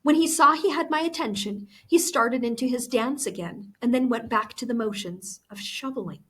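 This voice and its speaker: A woman's voice: on the high side, normal speed, fairly bright tone, breathy edge. A woman teacher reading a novel aloud expressively to students. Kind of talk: expressive book read-aloud.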